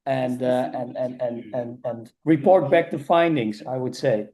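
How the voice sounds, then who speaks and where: A man hosting an online meeting over a video call, speaking casually and haltingly, often repeating words. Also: mid-range voice, mellow, low-quality recording. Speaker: meeting host.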